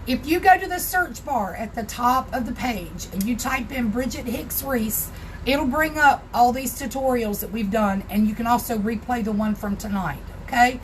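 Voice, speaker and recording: A hoarse, raspy-voiced woman with a Southern US accent hosts a casual crafting live stream from her home craft room. She talks in a fairly high voice and greets her viewers by name as she goes. There is a faint hiss behind her. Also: normal speed, rough recording. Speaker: crafting tutorial host.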